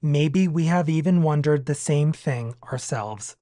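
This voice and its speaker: A man's voice: fairly high pitch, unhurried, warm tone, no room sound. Man reading a meditation, close dry sound. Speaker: podcast guest reader. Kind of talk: reading a daily meditation aloud.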